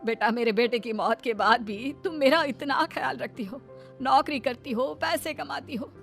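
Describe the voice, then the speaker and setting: Lady doing a storybook mother-in-law, middle aged, high pitch, really emphatic, music behind. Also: moderate pace, creaky. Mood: motherly, earnest. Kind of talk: storybook character dialogue. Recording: background music under the voice.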